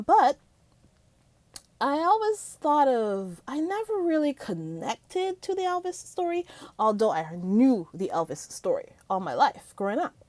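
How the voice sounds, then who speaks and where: A middle-aged woman from Barbados, chatting excitedly in a movie review vlog, laughing often. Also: medium pitch, no room sound. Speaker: vlogger. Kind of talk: casual movie review chat.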